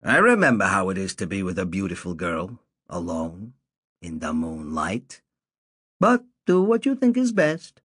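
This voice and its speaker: A man's voice: sits low, wildly swooping pitch, measured pace, a bit bright, breathy edge, dry recording, pitched below the performer's own voice, heavily acted. An old man in a nursing home, pushy and teasing, drags out his words in a sly, nagging way, as a male narrator puts him on. His voice climbs and dips across each line. The storybook reading sounds dry and close. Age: older.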